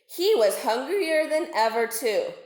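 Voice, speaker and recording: A woman narrates a children's storybook at an unhurried pace. Her fairly high voice rises and falls expressively. The room around her is echoey. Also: big pitch swings, a little mellow. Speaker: storybook reader, teacher. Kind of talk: children's read-aloud narration.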